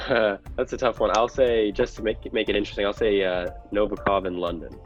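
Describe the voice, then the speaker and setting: A deep-voiced man talks through his answers like an analyst being interviewed. The sound is a little dull, with faint music behind him. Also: steady tone, brisk. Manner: analytical, explanatory. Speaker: podcast guest, real estate investor.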